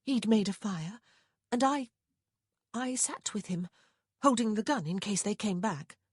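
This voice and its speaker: A woman voicing a frank, stubborn young gentlewoman in a period romance audiobook, earnest and confessional. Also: mid-range voice, lively intonation, measured pace, slightly rough, no echo, clean studio sound, voice pushed up.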